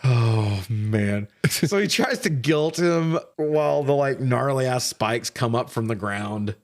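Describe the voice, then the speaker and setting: A man hosting a casual film review podcast, recapping in an animated, profane way with frequent laughter. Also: mid-range voice, takes it slowly. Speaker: podcast host reviewing films. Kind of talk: casual recap and banter between friends.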